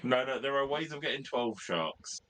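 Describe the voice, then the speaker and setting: A hoarse man with a British accent in a casual hobby chat, halting and jokey as his voice recovers. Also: medium pitch, expressive, a crowd in the background. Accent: British English. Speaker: podcast co-host.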